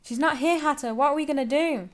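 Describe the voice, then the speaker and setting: A woman's voice: high voice, fast, close to an everyday voice. A woman playing an earnest, determined young female adventurer who speaks with urgency in a radio drama. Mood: searching.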